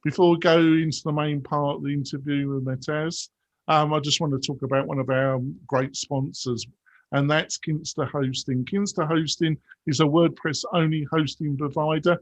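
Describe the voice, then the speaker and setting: Guy hosting a podcast, kinda high voice, peppy announcer vibe, super dry mic. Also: fairly even intonation, normal speed, no room sound. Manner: upbeat, announcer-like. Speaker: podcast host. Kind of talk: podcast hosting and sponsor read.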